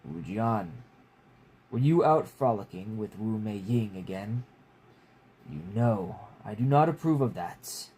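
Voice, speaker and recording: A man reading a story aloud as a proud, stern father with a deep, rumbling, formal and commanding voice. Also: lively intonation, takes it slowly, a little mellow, exaggerated voice, rough recording. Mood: disapproving. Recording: clear background noise.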